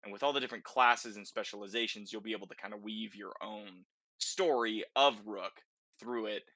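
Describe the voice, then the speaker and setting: Very bright-voiced man chatting casually about games. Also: fairly low pitch, moderate pace, no room sound. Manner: conversational, checks in with tag questions. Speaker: podcast host. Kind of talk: casual video game discussion.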